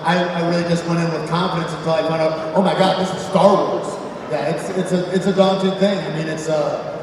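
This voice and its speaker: A man guest on a convention panel, talking earnestly with halting restarts and some joking. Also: a little high, fairly even intonation. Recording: echoey hall from far off, noisy.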